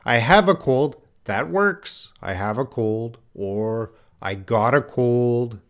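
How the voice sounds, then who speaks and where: A man's voice: medium pitch, takes it slowly, a bit bright. A man teaching English in a live online lesson, patiently reading out viewers' answers and correcting them with repeated example phrases.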